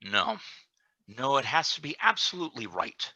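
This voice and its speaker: A man playing an ambitious, calculating deputy governor, emphatic and deliberate, in a radio play reading. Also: mid-range voice, wildly swooping pitch, sharp tone, dry recording, exaggerated voice. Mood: scheming, commanding.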